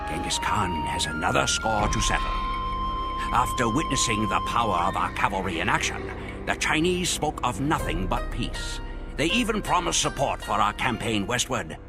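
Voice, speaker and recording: Gravelly grim Mongol warrior. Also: male voice, on the low side. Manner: measured, solemn storytelling. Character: grim male Mongol warrior recounting the campaign. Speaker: game cutscene narrator. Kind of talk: scripted dramatic narration. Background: music.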